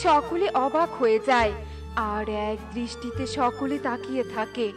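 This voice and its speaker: A woman narrates a scripted children's tale in a high voice. Music is clearly heard behind her storytelling. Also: moderate pace.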